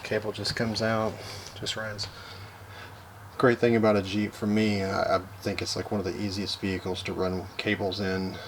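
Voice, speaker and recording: Low-voiced man explaining a vehicle install. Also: normal speed, slight background noise. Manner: relaxed, practical, many fillers and restarts. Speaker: vlogger. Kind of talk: casual how-to walkthrough.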